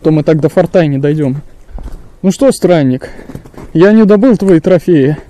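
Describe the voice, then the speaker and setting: A man's voice: a little high, lively intonation, moderate pace, warm tone. A young man chatting casually and slangily as he narrates an exploration to camera. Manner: slangy, informal. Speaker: urban explorer and vlogger. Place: outside at night and inside an abandoned concrete bunker. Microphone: handheld or body-worn action camera. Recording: clicky, noisy handheld action camera audio.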